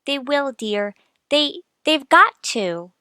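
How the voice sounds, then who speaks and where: Actress as worried devoted wife, radio drama, tender, earnest, slow-paced, dry close sound. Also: female voice, high-pitched, big pitch swings, pushed and bright, no echo, exaggerated voice. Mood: tender reassurance. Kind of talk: old-time radio drama acting.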